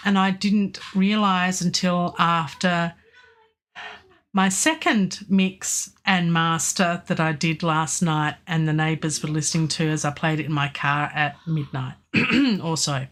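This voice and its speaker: A woman's voice: on the low side, fairly bright tone. An Australian woman chatting through a live music-production stream, rambling with many ums and restarts, her voice worn by a lingering cough. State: recovering from a virus, lingering cough and weak voice. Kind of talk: casual live-stream talk about mixing a track.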